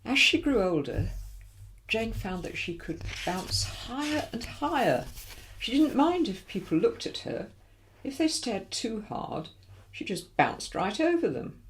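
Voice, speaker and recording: A woman's voice: fairly high pitch, big pitch swings, unhurried, slight background noise, low-quality recording. A woman reading a children's storybook aloud expressively, with dramatic emphasis for young listeners. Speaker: volunteer story reader.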